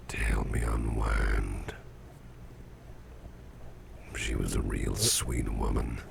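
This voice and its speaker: Very deep, gravelly, drowsy sick boss played by a man. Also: background noise, full character voice. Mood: drowsy, affectionate. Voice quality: gravelly, breathy murmur. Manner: slow, rambling, hesitant, repeating himself. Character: sickly, drowsy, sweet-tempered boss confiding in his employee. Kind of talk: ASMR roleplay, intimate and halting.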